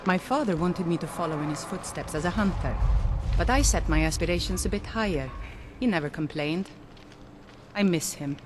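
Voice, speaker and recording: Game role, female actor: proud, ambitious hunter's daughter, lowish voice, noisy backdrop. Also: normal speed, natural voice. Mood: wistful. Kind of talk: fantasy game character acting.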